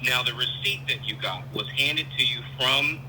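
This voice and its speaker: A man's voice: medium pitch, normal speed, pushed and bright. A male police officer on a phone call, questioning someone firmly and methodically, point by point. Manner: methodical, firm, clarifying point by point. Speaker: police officer taking a report. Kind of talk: phone conversation, questioning a caller. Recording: noisy telephone call with hum.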